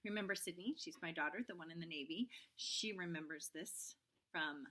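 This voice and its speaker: Bright-voiced middle-aged woman teacher. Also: fairly low pitch, normal speed. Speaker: first-grade teacher. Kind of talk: casual video read-aloud for children.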